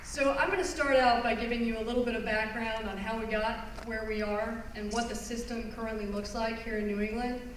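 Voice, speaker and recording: A woman giving a public presentation, explaining her subject earnestly and pausing on phrases for emphasis. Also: on the high side, steady tone, a loud crowd, very noisy. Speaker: environmental lawyer giving a talk. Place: conference hall. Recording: roomy hall recorded from far off.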